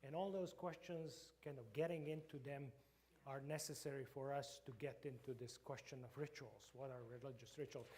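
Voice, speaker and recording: A man's voice: mid-range voice, fairly even intonation, normal speed, a little mellow. A middle-aged man from Croatia with a Croatian accent, a professor giving an informal lecture to a church group, hesitant with many fillers and restarts. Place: church meeting room.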